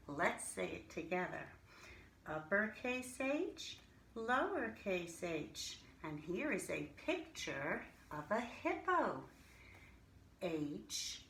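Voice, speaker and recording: A woman teaches small children in an echoey room with faint noise behind her. She speaks very slowly and deliberately, in a sing-song way, pausing as she addresses her pupils. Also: mid-range voice, fairly bright tone. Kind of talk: interactive alphabet lesson for young children.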